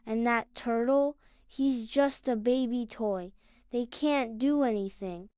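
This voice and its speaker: A woman's voice: a little high, takes it slowly, dark tone, smooth, no echo, studio quality. A woman voicing a scornful, teasing preschool boy, the oldest kid in class, in a children's bedtime story. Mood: dismissive. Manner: dismissive, teasing.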